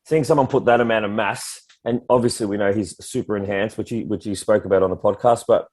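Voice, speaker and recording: A man in his mid-thirties with an Australian accent, co-hosting a coaching podcast in relaxed, easygoing chat. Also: fairly low pitch, fast. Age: 30s. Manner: relaxed, easygoing, many "like" and "you know". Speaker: prep coach podcast co-host.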